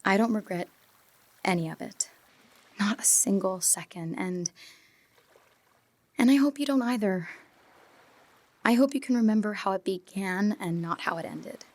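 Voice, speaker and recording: A woman playing a wistful, teasing young woman in love in an audio drama, with soft hushed moments. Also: mid-range voice, expressive, a little slow, pauses often. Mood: wistful, tender. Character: wistful, teasing teenage girl in love, remembering. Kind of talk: audio drama acting, intimate monologue and dialogue.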